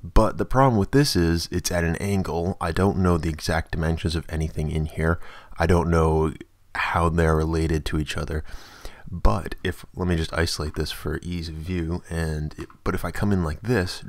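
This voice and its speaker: A man narrating a software tutorial as its host, explaining things in a casual, informal way. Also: sits low, no room sound.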